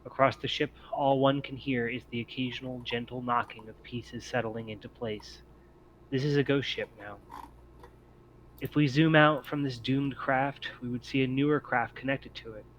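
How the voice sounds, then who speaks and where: A man's voice: mid-range voice, fairly even intonation, normal speed, fairly bright tone, highs cut off. Man narrating over noticeable background noise. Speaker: game master. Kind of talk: scripted scene-setting narration for a tabletop game.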